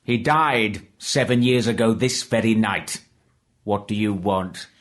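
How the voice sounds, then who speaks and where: An actor playing a grumpy, miserly old businessman with a rough, husky voice in a children's audiobook. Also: medium pitch, measured pace, natural voice. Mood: cold, irritated. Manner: curt, scornful, grumbling.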